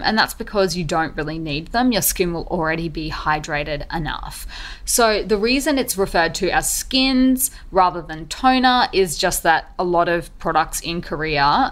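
A woman hosting a podcast, explaining skincare methods in an upbeat, chatty way. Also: lowish voice. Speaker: podcast host. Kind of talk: podcast monologue.

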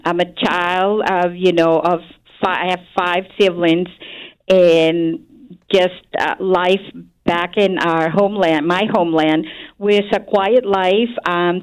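Chilean woman, radio guest, lowish voice, halting delivery with fillers. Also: clean tone, rough recording. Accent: Chilean Spanish. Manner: hesitant, searching for words, frequent um and uh. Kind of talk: radio interview answers.

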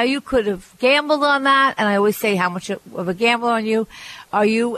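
A woman's voice: fairly high pitch, fairly bright tone, no echo, low-quality recording. Chatty woman radio host, dry studio sound. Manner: chatty, frank, restarts sentences. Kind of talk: advice call-in radio talk.

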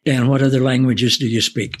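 Husky-voiced man hosting a faith show. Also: mid-range voice, flat delivery. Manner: folksy, drawn-out, warm asides. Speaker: host.

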